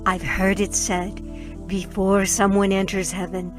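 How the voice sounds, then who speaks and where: Slow-spoken, awed woman in heaven acted by a woman. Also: mid-range voice, little pitch movement, soft background music, natural voice. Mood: wondering. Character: awed, tender woman newly arrived in heaven. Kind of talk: radio drama acting.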